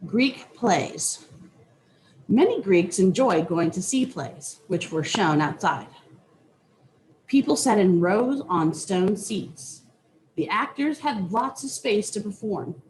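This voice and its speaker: A woman reading a children's nonfiction book aloud with deliberate, teacherly phrasing. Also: medium pitch, lively intonation, a little mellow, a little hiss or hum, low-quality recording. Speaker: reader.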